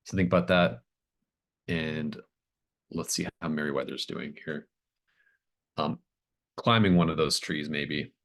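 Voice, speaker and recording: A man working as game master, improvising horror scene descriptions in a halting, thoughtful way. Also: a bass voice, gravelly, fairly bright tone.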